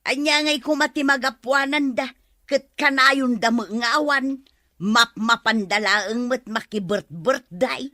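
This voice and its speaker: A woman's voice: fairly high pitch, unhurried, bright, forceful tone, dry recording, clean studio sound. A woman playing a hardworking, complaining villager in an Ilocano comedy drama. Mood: exasperated.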